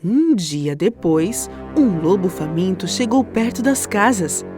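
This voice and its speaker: Brazilian lady reading a kids story, animated, highish voice, music playing behind. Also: slow. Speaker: storyteller, narrator. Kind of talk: scripted children's story narration. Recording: music under the voice.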